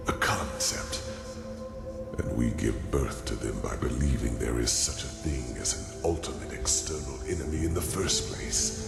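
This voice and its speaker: A man playing an ancient, weary sage in a fantasy game, speaking gravely and deliberately in a gravelly voice. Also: rumbling low, heavily acted. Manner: grave, slow, deliberate, brooding. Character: ancient weary male sage revealing bleak cosmic truths. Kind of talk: solemn dramatic game monologue. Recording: echoey voice over clear background music.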